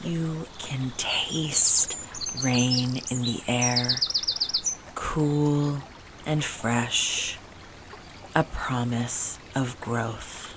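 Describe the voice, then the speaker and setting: A woman leading a soothing guided meditation in a hushed, gentle way with deliberate pauses and soft phrase endings. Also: very deep voice, fairly even intonation, heavy background noise.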